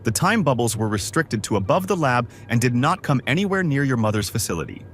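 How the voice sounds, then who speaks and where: A man's voice: mid-range voice, sing-song, a little fast, lightly acted. A man playing a courteous, methodical crew brother in a science fiction fan audio drama. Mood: explanatory. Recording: faint background noise.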